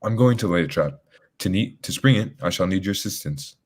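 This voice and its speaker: A man playing a commanding, self-assured detective in an old-time radio drama, speaking formally and deliberately. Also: deep voice, animated, very noisy.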